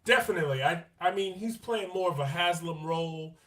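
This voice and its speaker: A man talking as a podcast co-host in an easygoing sports chat. His voice sits a little on the high side, and he gets animated and slangy, repeating his points for emphasis. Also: fairly quick. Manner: animated, quotes players in their own voices.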